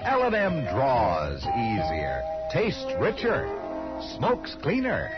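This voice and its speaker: American guy doing old 50s radio ad, super lively pitch swings, polished upbeat pitch, loud music behind. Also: takes it slowly. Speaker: commercial announcer. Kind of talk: 1950s radio advertising read. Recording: muffled old radio advert. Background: loud jingle music.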